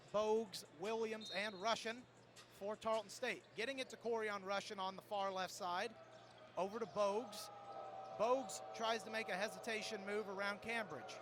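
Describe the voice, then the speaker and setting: A man calling a game live as a radio play-by-play announcer. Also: high voice. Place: indoor sports arena. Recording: noisy live sports arena broadcast.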